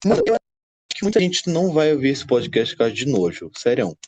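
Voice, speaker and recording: A Brazilian man with very lively intonation and a mid-range voice. He chats casually as a podcast regular, full of slang and teasing. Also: mid-range voice, quick pace, muffled recording, a crowd in the background. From São Paulo, Brazil.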